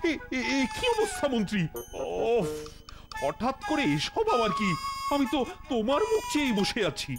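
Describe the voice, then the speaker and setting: A worried, stammering cartoon king, his high voice rising and dipping all over. He frets through every line, with music playing underneath. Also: huge pitch swings, normal speed, exaggerated voice. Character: anxious fretful king, middle-aged man. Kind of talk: cartoon voice acting. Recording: studio dub with background music.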